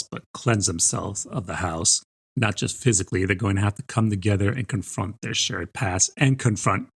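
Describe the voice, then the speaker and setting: A man's voice: on the low side, breathy edge. A man co-hosting a book podcast, talking through a review in a conversational way.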